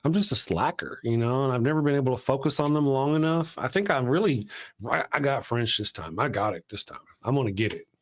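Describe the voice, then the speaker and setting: A man of middle pitch hosts a live stream in a loose, rambling way. He is chatty and quick to make fun of himself. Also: lively intonation. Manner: chatty, rambling and self-deprecating. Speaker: host of a live show. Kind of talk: casual live-stream chat.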